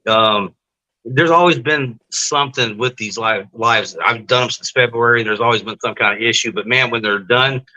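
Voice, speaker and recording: A man with a bright, forward voice hosts a live-stream testimony show. He chats with his guest and viewers in a casual, loose way, full of fillers. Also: medium pitch, normal speed, lo-fi sound. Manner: chatty, many fillers.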